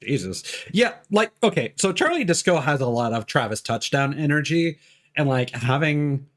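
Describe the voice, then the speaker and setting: A man's voice: a little high, expressive, moderate pace. A man giving casual live commentary as he streams a video game. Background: game music.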